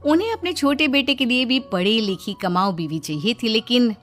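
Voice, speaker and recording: A woman's voice: on the high side, expressive, normal speed, soft background music. A woman narrating a cartoon story in a wry, knowing way.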